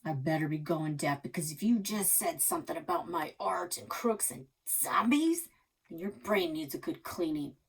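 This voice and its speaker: Storybook role: cranky old grandfather played by a woman, blunt, grumbling, husky and gravelly. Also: a little high, animated, moderate pace, heavily acted. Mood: cranky, gruff. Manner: blunt, grumbling, folksy sarcasm. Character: gruff, cranky old grandfather, sarcastic and haunted.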